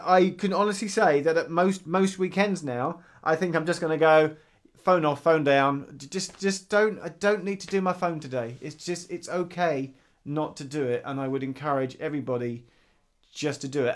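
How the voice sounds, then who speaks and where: A man in his thirties co-hosts a relaxed podcast. His voice sits a little high, and he tells anecdotes lively, voicing his own past thoughts. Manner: animated storyteller, acts out his own thoughts. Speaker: podcast co-host. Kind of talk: casual chat.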